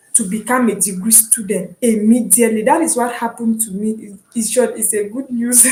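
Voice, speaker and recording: A young woman from Cameroon with a Cameroonian English accent, chatting casually and encouragingly about her online studies, often pausing with "um". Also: a little high, roomy sound, poor audio. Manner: chatty and encouraging, with frequent "um" and restarts. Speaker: vlogger and online student. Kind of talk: casual explanatory vlog.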